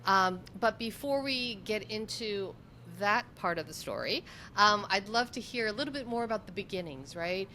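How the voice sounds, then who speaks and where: A woman's voice: medium pitch, expressive, moderate pace, bright, forceful tone, slight background noise. A woman hosting an interview in a conversational way, effusive and given to emphatic repetition. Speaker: interview host.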